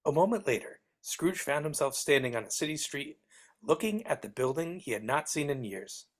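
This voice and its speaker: A woman's voice: sits low, lively intonation, moderate pace. A woman playing a mild storybook narrator in a live scripted reading on a video call. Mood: measured storytelling.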